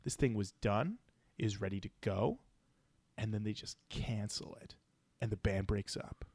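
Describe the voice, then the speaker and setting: A man's voice: lowish voice, measured pace, a little mellow, dry recording, clean studio sound. A man hosting a casual music discussion podcast, talking in an enthusiastic, slangy, joking way. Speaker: podcast host.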